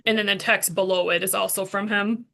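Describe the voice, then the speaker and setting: Bright-voiced woman attorney questioning. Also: medium pitch, fairly even intonation, brisk, creaky. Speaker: prosecuting attorney questioning a witness. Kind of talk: formal courtroom questioning.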